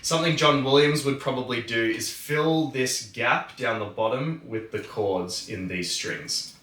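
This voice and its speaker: A man presenting a music tutorial, explaining methodically in a measured, instructional way. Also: medium pitch, a bit bright. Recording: roomy, distant microphone.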